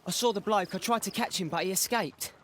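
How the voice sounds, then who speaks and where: A youthful British street lad in a story game. His voice is high for a male, with an earnest, eager delivery. He addresses his betters with deference. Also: brisk, fairly bright tone, a little hiss or hum. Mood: urgent, earnest. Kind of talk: dramatic video game dialogue.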